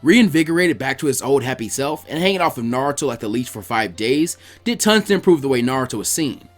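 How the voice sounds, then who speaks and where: A man narrating a story aloud in an expressive audiobook style. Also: mid-range voice, quick pace, faint music behind.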